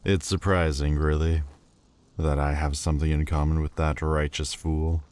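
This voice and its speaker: A man's voice: extremely low pitch, flat delivery, normal speed, a little mellow. A man voicing a proud, bitter demon lord who speaks in a cold, cutting, haughty way and hides a lovesick heart. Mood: mocking. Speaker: voice actor. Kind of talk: audio roleplay acting.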